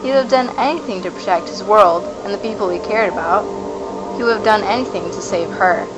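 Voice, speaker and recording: A woman's voice: medium pitch, brisk, low-quality recording. Woman narrating fantasy over music. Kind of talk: scripted fantasy story narration.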